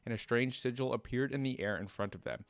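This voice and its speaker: A man's voice: mid-range voice, fast talker, vocal fry, no echo. A man hosting a reaction video, reading a fantasy story aloud and breaking off for casual comments.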